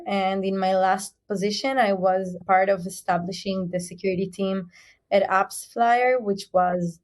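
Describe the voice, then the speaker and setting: A woman from Chile, a native Spanish speaker, giving explanatory answers as an interview guest and restating her points. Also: mid-range voice. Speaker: guest, head of information security.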